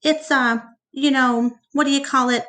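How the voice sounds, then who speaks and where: A woman's voice: high-pitched, fairly even intonation, moderate pace, a bit bright, voice pushed up. A woman voicing a blustering, demanding businessman in a storybook, talking in a brash, salesman-like way. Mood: eager, selling. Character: Mac, blustering, demanding man who runs a mall attraction. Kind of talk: storybook read-aloud character voice.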